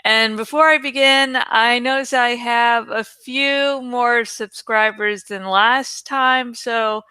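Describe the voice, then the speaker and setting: Female storyteller, unhurried and deliberate, crisp delivery in a close, dry recording. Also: on the high side, steady tone, sharp tone, dry recording. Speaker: host reading a novel aloud. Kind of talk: expressive audiobook-style narration.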